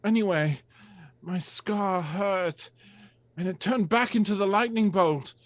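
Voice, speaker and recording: A woman's voice: mid-range voice, slow, close to an everyday voice. A woman voicing an anguished, melodramatic teenage wizard boy in an amateur dramatic reading of fan fiction. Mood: anguished, earnest.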